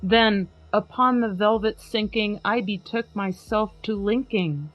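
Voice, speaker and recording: A woman hosting a podcast, giving a slow, emphatic dramatic reading of a poem over a video call. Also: medium pitch, muffled recording, soft background music. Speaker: podcast host.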